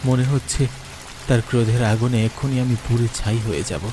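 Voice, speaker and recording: A man's voice: medium pitch, fairly quick, noisy background, close to an everyday voice. A man playing a frightened schoolteacher who tells his ghost story in the first person, with dramatic delivery, for a horror cartoon. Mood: terrified. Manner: dramatic, fearful, pleading when in dialogue. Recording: music bed under the voice. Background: music.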